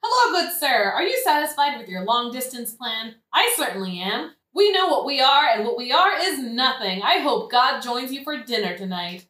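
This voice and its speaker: A woman's voice: a little high, very animated, moderate pace, few pauses, pushed and bright. A woman playing a grief-mad, theatrical young woman who rambles and bursts into song in a satirical audio play. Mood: giddy showbiz cheer. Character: grief-mad young woman, manic, singing, mock showbiz cheer. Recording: echoey room.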